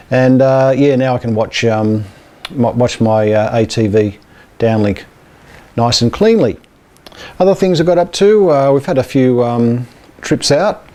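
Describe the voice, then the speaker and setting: Mellow, softly dark-voiced Australian man. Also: medium pitch. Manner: hesitant, rambling, informal. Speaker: amateur radio and television club presenter. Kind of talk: casual update to camera.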